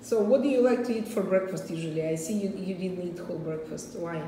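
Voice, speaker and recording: Woman, middle-aged, Russian accent, casual questioning, long room reverb, clear background noise. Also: fairly low pitch, a little fast, warm tone. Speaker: vlogger interviewing a child. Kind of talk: casual chat, asking questions. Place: hard-surfaced open kitchen-dining room. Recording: very echoey room, distant, noisy.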